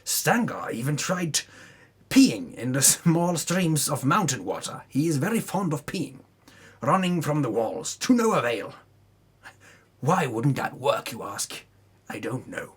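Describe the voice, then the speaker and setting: A man's voice: on the high side, big pitch swings, measured pace, breathy edge. A man narrating a tabletop campaign recap as a dramatic storyteller, with theatrical delivery and casual asides.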